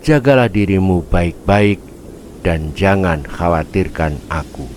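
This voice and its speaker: A man's voice: deep voice, expressive, a little mellow, a little hiss or hum. A man voicing a devoted, weary male penguin husband in a storybook fable, earnest and tender. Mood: tender, reassuring. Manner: earnest, tender, drawn-out delivery.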